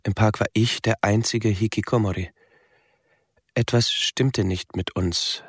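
Slow, low-voiced young recluse. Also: male voice, fairly even intonation, husky, dry recording, studio quality. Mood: reflective. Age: young adult. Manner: measured, halting short phrases. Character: withdrawn young Japanese recluse man, melancholy, introspective. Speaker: narrator. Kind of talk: literary radio drama monologue.

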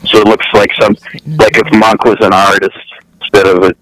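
Halting low-voiced man calling in. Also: flat delivery, fairly bright tone, rough recording. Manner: halting, many restarts, self-deprecating. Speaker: guest, novelist. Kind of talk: casual remote chat. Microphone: calling in remotely, hard to hear others. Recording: radio talk show.

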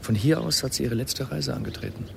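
An actor playing an earnest, intense astronomer in a German radio drama, speaking emphatically and reflectively. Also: male voice, medium pitch, fairly quick, vocal fry, fairly bright tone, slight background noise. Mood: moved, reflective. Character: Max, earnest astronomer man, brooding and intense.

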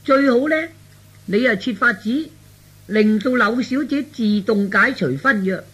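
A man voicing a meddling older aunt in a radio play, coaxing and cautioning with drawn-out endings. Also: very high-pitched, fairly bright tone, husky, pitched above the performer's own voice, full character voice. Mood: insistent advice. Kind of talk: Cantonese radio play storytelling.

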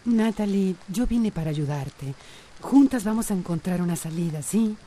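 A woman's voice: lowish voice, expressive, normal speed, dark tone. An actress playing a soothing, compassionate woman with a Costa Rican accent in a radio drama. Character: soothing, compassionate woman comforting troubled teenagers.

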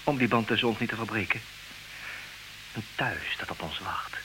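An actor playing an intelligent, possessive, ironic husband in an old radio play, speaking earnestly in theatrical diction. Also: male voice, fairly low pitch, normal speed, close to an everyday voice. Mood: tentative, hopeful. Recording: hissy old radio recording, clear noise.

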